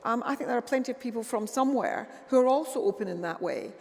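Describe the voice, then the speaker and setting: A woman with a fairly high voice chairs a panel debate in a big hall. She often repeats her opening words before a thought comes out. The sound carries a long reverb. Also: lively intonation, fast, creaky. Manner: hesitant, repeats words before getting going. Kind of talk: moderating a live panel discussion.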